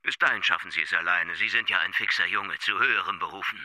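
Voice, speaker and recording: An actor playing a condescending, sardonic East German state prosecutor in a radio drama, giving clipped, officious orders. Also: male voice, deep voice, fast talker, bright, forceful tone, no room sound.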